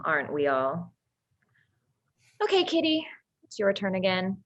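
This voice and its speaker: A woman's voice: fairly low pitch, big pitch swings, a little slow, no room sound, highs cut off. An actress playing a sly, teasing, unfazed young woman in a dramatized script reading.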